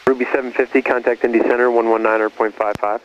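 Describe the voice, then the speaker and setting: A man's voice: medium pitch, fast talker. A man working as an air traffic controller, giving businesslike routine instructions over aviation radio. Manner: monotone, businesslike. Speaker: departure and approach controller. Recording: dull radio transmission with faint static.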